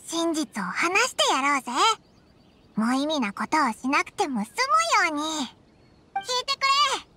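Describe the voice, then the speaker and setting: A woman voicing a small, childlike fairy companion in a video game, squeaky and expressive, with blunt, boyish phrasing. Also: unhurried, dry recording, heavily acted. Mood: doubtful, explaining. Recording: background music under the voice.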